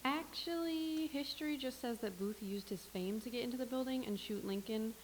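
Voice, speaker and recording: A woman's voice: fairly high pitch, normal speed, close to an everyday voice. An actress playing a dry, sardonic woman serving tea in a staged comedy reading. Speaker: café server character. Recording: reverberant space with faint hiss.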